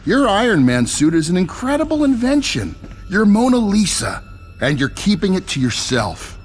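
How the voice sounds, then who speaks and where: A man's voice: high voice, wildly swooping pitch, moderate pace, husky, faint music behind, heavily acted. An actor playing a smug, scornful businessman villain in a video game cutscene, condescending and theatrical. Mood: scornful, persuasive.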